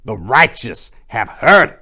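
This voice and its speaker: A man playing a fiery old bluesman with a raspy voice and a Southern US accent, speaking forcefully like a preacher in an audio story. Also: mid-range voice, big pitch swings, takes it slowly, voice pushed up, heavily acted. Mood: fervent, preachy. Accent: American South. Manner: ranting, scripture-quoting, forceful.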